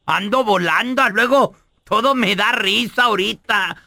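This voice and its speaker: A man's voice: high-pitched, a little slow, gravelly, sharp tone, no echo, clean studio sound, heavily acted. A man voicing a giggly, stoned older prank caller who keeps laughing and tossing in English phrases on a radio show.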